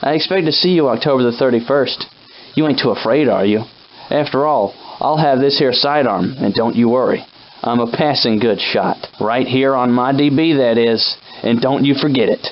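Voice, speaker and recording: Guy doing a folksy old timey soldier sidekick for a video game, mid pitched, plucky but rattled, kinda muffled lofi audio. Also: moderate pace, slight background noise, lightly acted. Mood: cocky. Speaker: game character companion. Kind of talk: scripted video game character acting, old-time dialect.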